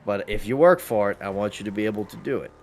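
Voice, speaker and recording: A man's voice: on the low side, fast, darkish tone, a little hiss or hum. A talkative man chatting casually as he plays a video game, explaining things at length and swearing freely. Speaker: gamer in a role-play server. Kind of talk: casual chat while gaming.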